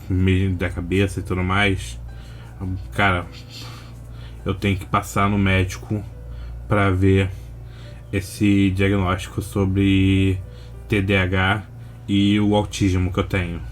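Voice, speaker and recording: A young man with a Brazilian Portuguese accent giving casual, unscripted reaction commentary, rambling and slangy with frequent pauses. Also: deep voice, a little hiss or hum. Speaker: reaction video host.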